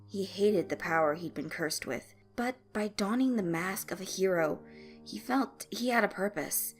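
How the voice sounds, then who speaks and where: A woman narrates scripted fiction at a middle pitch. She reads as an audiobook storyteller, with music playing behind her voice. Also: normal speed. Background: background music.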